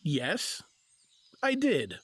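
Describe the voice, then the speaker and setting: A man's voice: a little high, wildly swooping pitch, very slow, a bit bright, slightly rough, dry recording, full character voice. An exasperated, wry husband lost in a magical forest, played by a man in an audio drama. The man's voice is dry and close, rising and falling freely as he gets flustered or sarcastic. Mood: wry, teasing. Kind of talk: fantasy audio drama acting.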